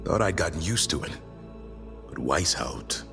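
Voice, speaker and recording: An actor playing a stern, weary warrior in a fantasy video game. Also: male voice, extremely low pitch, expressive, measured pace, vocal fry, in a large hall, music in the background, exaggerated voice. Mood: grim, resolute. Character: stern, weary male warrior of a monster-fighting order. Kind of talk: dramatic fantasy game dialogue.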